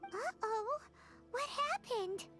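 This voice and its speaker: A woman voicing a small, childlike fairy companion in a video game, with a squeaky, cute, exaggerated delivery. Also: big pitch swings, takes it slowly, sharp tone, exaggerated voice. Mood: startled. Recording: music behind the voice.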